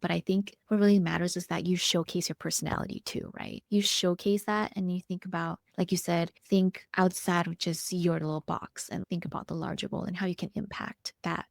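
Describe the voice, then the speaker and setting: A woman hosting an interview, asking questions in an encouraging, brisk way. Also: fairly low pitch, flat delivery, creaky, no room sound, studio quality. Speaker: interviewer, host. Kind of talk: casual interview.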